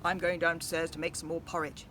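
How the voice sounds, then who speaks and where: A woman voicing a gruff, solemn father bear, low, slow and booming. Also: little pitch movement, bright, forceful tone, slight background noise. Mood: firm.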